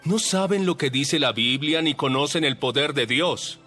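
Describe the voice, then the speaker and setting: Hombre interpretando a Jesús, maestro de voz algo aguda. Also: male voice, on the high side, lively intonation, moderate pace, bright, forceful tone, soft background music. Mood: firm, correcting. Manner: didactic, emphatic. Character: authoritative male religious teacher instructing his followers. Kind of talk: dramatized audio Bible acting.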